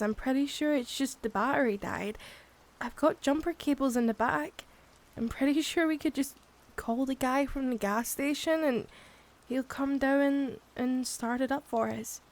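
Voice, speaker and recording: A woman's voice: high-pitched, darkish tone, close to an everyday voice. A woman acting a frightened young woman stranded with her partner in an improvised horror roleplay, sighing, swearing and sometimes dropping into a whisper. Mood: anxious, searching. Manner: breathy sighs, drops into whispers, sweary. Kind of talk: improvised ASMR audio roleplay.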